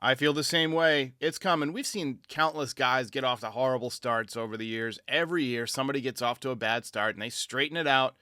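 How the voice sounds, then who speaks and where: A man's voice: medium pitch, fairly quick, hardly any pauses, bright, forceful tone, no echo, clean studio sound. A man hosting a baseball fan show, giving his commentary in answer to callers. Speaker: sports show host.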